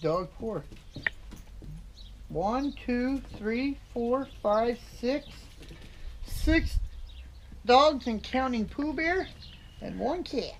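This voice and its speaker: Slow gravelly folksy man. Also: extremely high pitch, a little hiss or hum. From USA. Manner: folksy, relaxed, chatty. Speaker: trucker vlogger. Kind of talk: casual vlog chat. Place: in a parked vehicle, on a home porch outdoors. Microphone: camera mic close up.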